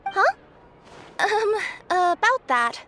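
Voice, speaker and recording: A woman's voice: extremely high pitch, huge pitch swings, slow pace, sharp tone, a little hiss or hum, heavily acted. A woman voicing a bubbly, easily flustered young courier girl in an anime video game. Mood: flustered, embarrassed. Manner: exclaiming, girlish.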